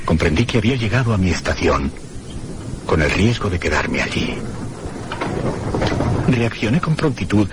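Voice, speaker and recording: Mystery radio drama: uneasy, inquisitive gentleman traveller, low and animated, literary delivery over heavy noise. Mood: startled, urgent. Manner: formal, literary. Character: uneasy, curious male traveller recounting a mysterious journey. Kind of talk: scripted suspense radio drama narration.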